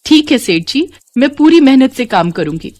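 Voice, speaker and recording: A woman's voice: high-pitched, very animated, moderate pace, darkish tone, slight background noise, voice pushed up. A woman voicing a devout, polite young working wife in a moral story. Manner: polite, earnest. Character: devout, hardworking, earnest young wife and shop worker.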